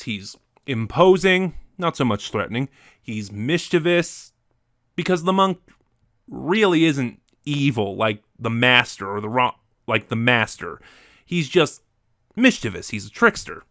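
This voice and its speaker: A man speaks as a reviewer in a casual, conversational style. His delivery is a little halting, as if working out his thoughts aloud, and the sound is dry with little room in it. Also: mid-range voice, a bit bright, no room sound. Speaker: reviewer ranking favorite audio dramas.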